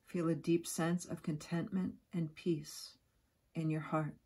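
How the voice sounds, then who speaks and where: Woman, meditation guide, husky breathy voice, very slow, soothing, gentle instructions, long pauses. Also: low-pitched, level tone. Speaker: yoga nidra guide.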